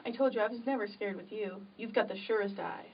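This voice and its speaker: A woman's voice: mid-range voice, quick pace, slight background noise, natural voice. A woman playing a timid, devoted young woman in an amateur drama, earnest and pleading. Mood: tender, subdued. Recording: dull, distant microphone.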